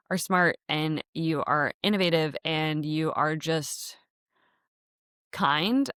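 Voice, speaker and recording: Low-voiced chatty woman, Dutch native. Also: fairly bright tone, no echo, studio quality. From United States. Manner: chatty, rambling, filler-heavy with frequent light laughs. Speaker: podcast host and startup founder. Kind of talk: casual solo podcast monologue. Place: podcast studio in an office space.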